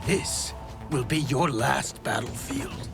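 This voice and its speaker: An actor playing an arrogant, battle-hardened soldier in a video game, scornful and challenging. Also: male voice, medium pitch, takes it slowly, gravelly. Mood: menacing, scornful. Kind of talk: cinematic video game voice acting. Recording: very loud cinematic music behind.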